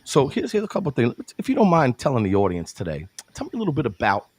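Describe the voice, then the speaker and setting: A man's voice: medium pitch, huge pitch swings, fast talker, darkish tone, husky, dry recording. A man from New York hosting a casual interview show, hyping his guest, laughing often and calling him brother. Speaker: show host.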